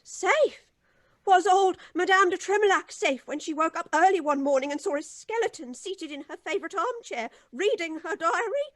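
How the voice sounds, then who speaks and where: An anxious old-time radio housekeeper, very high in pitch and close and dry in sound. She is deferential to her employers, yet full of dramatic foreboding. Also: lively intonation, moderate pace, dry recording. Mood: fearful. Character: anxious, ominous older housekeeper, fearful and deferential. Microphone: studio microphone on a video call.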